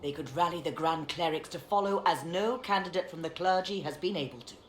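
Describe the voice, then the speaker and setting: A woman's voice: lowish voice, a little fast, slight background noise, close to an everyday voice. Fantasy game priestess, played by a woman: earnest, pleading, formal, echo and light ambience. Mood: earnest, insistent. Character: urgent, pleading senior chantry priestess. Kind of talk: dramatic video game dialogue. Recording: roomy echo with background ambience.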